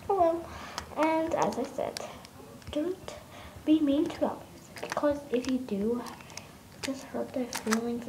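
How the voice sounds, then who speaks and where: A woman talking in a casual vlog, rambling with many fillers and restarts and often dropping into a whisper. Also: fairly high pitch. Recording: noisy home phone recording.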